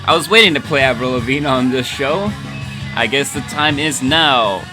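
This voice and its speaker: A man's voice: mid-range voice, moderate pace, music in the background. A man hosting a casual livestream radio show as DJ, playful and rambling, with emphatic announcing.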